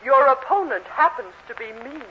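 A woman's voice: high-pitched, animated, normal speed. An actress playing an imperious, indignant middle-aged mother in a 1940s radio sitcom, with a haughty, commanding delivery. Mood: icy. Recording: muffled, faintly noisy 1940s radio recording.

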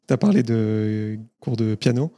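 A man hosting an interview podcast, reading a quick scripted introduction and then asking friendly questions. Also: fairly low pitch, dark tone, no echo. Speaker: podcast host.

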